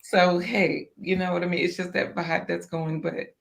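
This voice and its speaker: A woman guest with a hoarse, scratchy voice, talking in a casual, upbeat and encouraging way on a livestream. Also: lowish voice, fairly even intonation, moderate pace. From Virginia.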